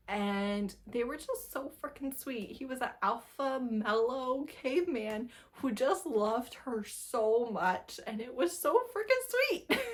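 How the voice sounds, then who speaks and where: A woman giving a casual, animated book review, telling plots informally with a giggly manner. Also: mid-range voice. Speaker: book review video host. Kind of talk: casual chatty book wrap-up talk to camera.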